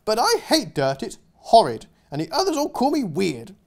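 A shrill, fussy hippo lady in a storybook, loud and swooping very high, as a man puts her on. Every phrase lurches up and down with theatrical fuss. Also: wildly swooping pitch, normal speed, full character voice. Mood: distressed. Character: fussy female hippo who hates dirt.

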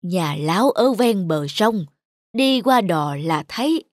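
A woman voicing a poor, humble old man who is a tea connoisseur in a cartoon folktale, speaking in a slow, courteous, old-fashioned way. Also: mid-range voice, expressive, darkish tone, dry recording. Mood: humble polite. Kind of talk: dubbed cartoon folktale acting.

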